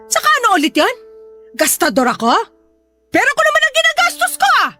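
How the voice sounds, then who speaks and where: Hot-tempered middle-aged Filipino mum, radio-drama melodrama. Very high, sharp, scolding and quick to threaten. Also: female voice, huge pitch swings, soft background music, exaggerated voice. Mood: angry, scolding. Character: hot-tempered, nagging, gambling widowed Filipino mother.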